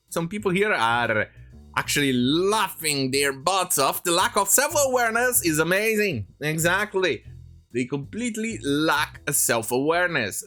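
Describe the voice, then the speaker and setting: A commentator delivers a mocking, improvised rant with very lively, swinging pitch. The delivery is sarcastic and rambling, with hesitant stretches, over music. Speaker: commentary host.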